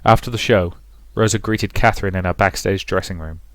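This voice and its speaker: Low-voiced man reading a visual novel. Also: a little fast, no echo. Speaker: let's player and narrator. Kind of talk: reading a visual novel aloud with casual commentary.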